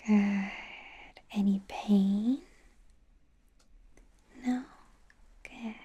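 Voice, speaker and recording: Woman playing a slow, whispering, bumbling lady doctor. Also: medium pitch, steady tone, muffled recording, heavily acted. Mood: gentle, attentive. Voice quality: soft breathy whisper. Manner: gentle, hesitant, self-correcting, reassuring. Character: friendly, bumbling, self-scolding female werewolf doctor. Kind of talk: ASMR medical roleplay.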